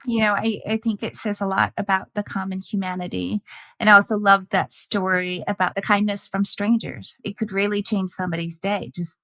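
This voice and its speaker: A woman's voice: medium pitch, flat delivery, fairly quick, a bit bright. A woman hosting a video-call interview, asking prepared questions and responding with affirming remarks through a headset. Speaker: podcast host and interviewer. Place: indoors, home room.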